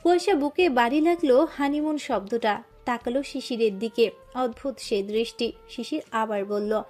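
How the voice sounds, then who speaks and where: Woman narrating a romance, mellow tone. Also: a little high, normal speed, faint music behind. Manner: expressive, emotive reading. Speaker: storyteller narrator. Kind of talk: scripted romantic story narration.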